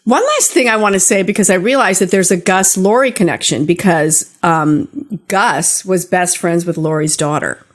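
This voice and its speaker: A woman's voice: lowish voice, normal speed, few pauses. An older woman hosting a podcast, telling personal stories in a casual, conversational way straight to her listeners. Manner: conversational, talks directly to listeners. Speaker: podcast host.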